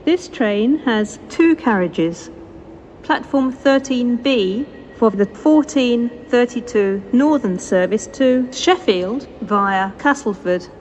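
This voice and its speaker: Female British station announcer, higher-pitched, slow formal delivery, PA with faint background noise. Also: animated, a little mellow, lo-fi sound. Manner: formal, measured, segmented phrasing. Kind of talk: scripted public-address train announcements. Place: train station, over loudspeakers. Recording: noisy station PA recorded on location.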